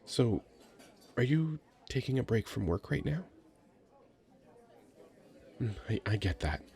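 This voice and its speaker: A man playing a very shy, sweet young nerdy writer in an ASMR roleplay, hesitant, stammering and soft-spoken. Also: medium pitch, sing-song, takes it slowly, vocal fry, exaggerated voice. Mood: shy, friendly. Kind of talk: ASMR roleplay, addressing the listener.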